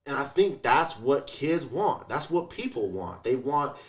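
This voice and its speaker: A man's voice: medium pitch, sing-song, unhurried, crowd noise. A man talking casually as an interview guest, telling stories in a slangy, sweary way and acting out what people said. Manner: slangy, swears, acts out quotes, frequent "like" and "you feel me". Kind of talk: casual, animated storytelling chat.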